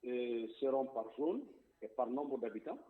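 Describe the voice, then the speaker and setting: A man's voice: medium pitch, lively intonation, unhurried. A man calls in to a political radio discussion and speaks his mind plainly and emphatically. His voice sits in an ordinary middle register and comes through a thin, tinny phone line. He sometimes circles back over his own words while he finds the next point. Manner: outspoken, emphatic, repeats words while thinking. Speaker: diaspora representative guest.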